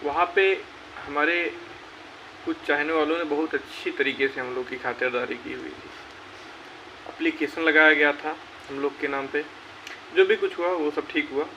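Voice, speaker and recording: Young man, urgent rallying talk, slightly high voice, muffled lo-fi sound. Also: normal speed, bright, forceful tone. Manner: urgent, exhorting, repeats phrases for emphasis. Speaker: YouTube channel host. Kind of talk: rallying address to job candidates. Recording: dull lo-fi sound with faint hiss.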